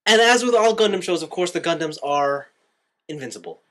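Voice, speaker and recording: A male podcast host chatting casually about anime. His voice swings widely in pitch as he gripes and argues his opinions. He sounds exasperated and swears casually. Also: animated, brisk, bright, forceful tone. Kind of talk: casual anime review chat.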